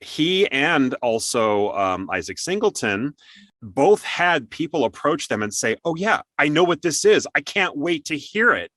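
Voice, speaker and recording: A man's voice: fairly high pitch, big pitch swings, measured pace, fairly bright tone, no echo. A man hosting a casual interview in an enthusiastic, encouraging way. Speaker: interview host.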